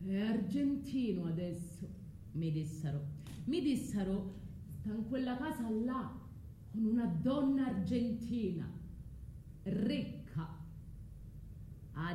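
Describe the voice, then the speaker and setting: Bitter scorned calabrian woman in a radio play, southern italian accent, big pitch swings, roomy echo. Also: lively intonation, warm tone. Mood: wry recounting. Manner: earthy, halting, dramatic storytelling. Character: bitter, vengeful Calabrian migrant woman, scorned lover, earthy. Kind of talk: theatrical radio monologue with dialect. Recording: roomy echo, faint background noise.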